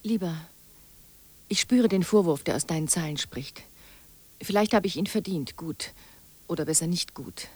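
A woman's voice: lowish voice, normal speed, pauses often, mellow, slightly rough. An actress playing a disappointed, resolute wife in a radio drama, speaking her letters in an intimate, reproachful, articulate way. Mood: hurt, pressing.

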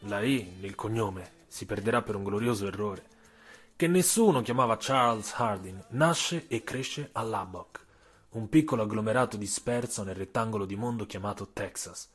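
Husky male dramatic storyteller. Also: lowish voice, lively intonation, faint music behind. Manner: theatrical storytelling with emphatic phrases and deliberate pauses. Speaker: author and narrator. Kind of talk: scripted audio-story narration.

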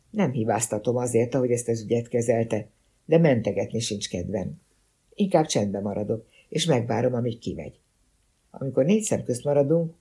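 A man reading a first-person detective novel as a scripted audiobook narration, with a husky voice. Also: mid-range voice, warm tone.

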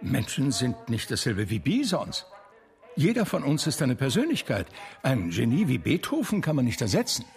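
An actor playing a blind man from a cultured New York family, reminiscing with measured, articulate, gently wry delivery in a German radio drama. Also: medium pitch, huge pitch swings, creaky. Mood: questioning. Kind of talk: German radio drama first-person narration.

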